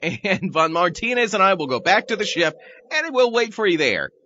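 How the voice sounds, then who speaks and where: A nervous coward, played by a man, protests in a lively, slightly high voice. He sounds skittish and comic, on a muffled audio drama recording. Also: moderate pace, slight background noise, heavily acted. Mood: protesting. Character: cowardly, skittish male reluctant lookout.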